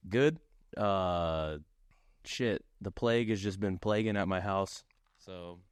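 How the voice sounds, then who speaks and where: Man in his thirties, fairly low pitch, casual storytelling, heavy slang and swearing, dry recording. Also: slow pace, smooth, no echo. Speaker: podcast co-host.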